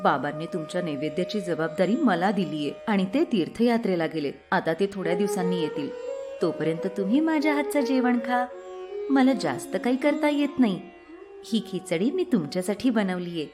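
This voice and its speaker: A woman's voice: mid-range voice, expressive, moderate pace, music in the background, natural voice. A woman voicing an innocent, devoted young village woman with a sweet, earnest, coaxing manner. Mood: pleading.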